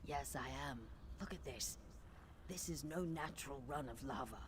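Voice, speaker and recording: An actor playing a calm, fatalistic lizard-folk mystic in a fantasy video game, speaking in measured, cryptic proverbs. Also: male voice, mid-range voice, a little hiss or hum, lightly acted. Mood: grave, calm.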